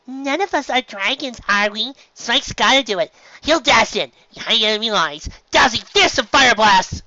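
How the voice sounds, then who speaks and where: A man voicing a hyper, silly, confident female cartoon pony in a dramatic, drawn-out way, reading a story. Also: very high-pitched, animated, sharp tone, no room sound, muffled recording, voice pushed up, full character voice. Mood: playfully confident.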